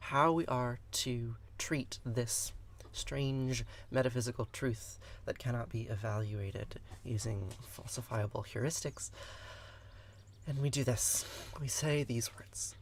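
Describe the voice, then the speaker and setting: A man's voice: medium pitch, slight background noise. Man chatting while teaching a live stream. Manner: chatty and earnest, often laughing and answering viewers; explanatory, with frequent fillers and restarts. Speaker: livestream host teaching scripture. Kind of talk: live-streamed lesson mixed with chat replies.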